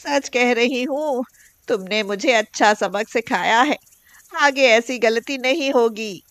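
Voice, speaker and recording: Woman acting a high-voiced scheming mother-in-law. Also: takes it slowly, fairly bright tone, dry recording. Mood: pleading, remorseful. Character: greedy scheming mother-in-law with magic, caught out.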